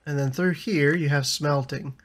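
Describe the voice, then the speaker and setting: A man in his thirties with a slightly raspy voice from a lingering cough, chatting casually over a building game and laughing often. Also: a little high, fairly even intonation, moderate pace. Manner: chatty, laughs often, frequent ums and restarts. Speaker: gaming YouTuber. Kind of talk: casual gameplay commentary.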